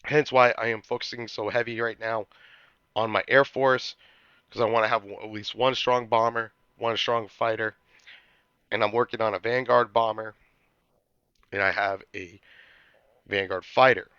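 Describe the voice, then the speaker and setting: A man hosting a gaming channel, talking through a strategy walkthrough while getting over a cold, now and then clearing his throat and restarting his sentences. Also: fairly low pitch, fairly even intonation, moderate pace, long pauses, sharp tone, no room sound, dull audio. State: recovering from a cold.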